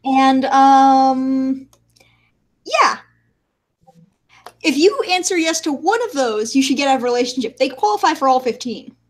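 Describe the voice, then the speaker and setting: A woman's voice: high voice, animated, measured pace, pauses often. A nineteen-year-old woman in a casual group chat, chatty and eager to explain. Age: late teens.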